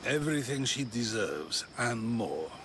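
A calm old philosopher. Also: male voice, medium pitch, measured pace, slight background noise. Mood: resolute. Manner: calm, principled, reflective. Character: older bearded male philosopher. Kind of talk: video game cutscene acting.

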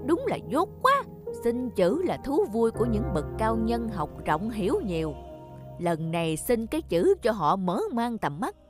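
A woman's voice: on the high side, sing-song, a little slow. A woman voicing a pompous, short-tempered fat village man in a cartoon, boastful and blustering. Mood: scornful, bossy. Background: music.

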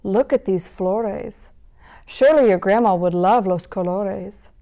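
A woman voicing a sly, swaggering male wolf in a storybook read-aloud, with a smooth, coaxing, drawn-out delivery. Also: mid-range voice, mellow, lightly acted.

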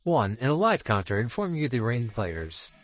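A man's voice: medium pitch, very animated, a little fast. Man putting on a stately god game master, sweeping intonation. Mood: solemn, commanding. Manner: formal, stately announcing. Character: male god of time and space, solemn game master. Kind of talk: reading visual novel dialogue aloud.

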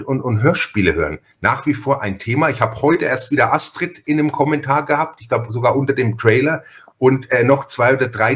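A man with a Franconian German accent hosts a casual podcast interview. His voice sits at an ordinary middle pitch. He is talkative and tends to ramble from one thought into the next. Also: a bit bright. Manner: chatty, rambling. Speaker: podcast host.

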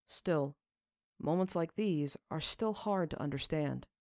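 A woman's voice: low voice, slow, darkish tone, no echo, clean studio sound. A woman reading fiction aloud as a narrator, in audiobook style.

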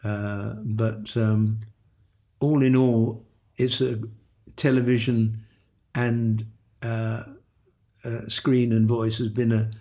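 Older male guest, gravelly and unhurried, stumbles and restarts, low voice. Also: level tone. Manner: halting, repeats words, chuckles softly. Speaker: veteran voice actor guest. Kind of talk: relaxed reminiscing interview.

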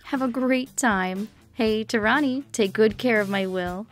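A woman's voice: on the high side, unhurried, faint music behind, lightly acted. A woman playing a caring, encouraging mother in a comic fan dub. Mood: reassuring.

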